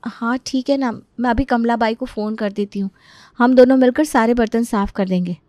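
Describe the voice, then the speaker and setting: A woman's voice: on the high side, moderate pace, warm tone, no echo, clean studio sound, close to an everyday voice. A woman voicing a meek, overworked young daughter-in-law who pleads and complains in a dramatised story. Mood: dutiful, explaining.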